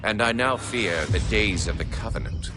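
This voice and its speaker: A man's voice: on the low side, moderate pace, natural voice. An actor voicing a grave, anxious alien male scribe in a solemn, formal narration for a video game cutscene. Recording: game soundtrack with music underneath.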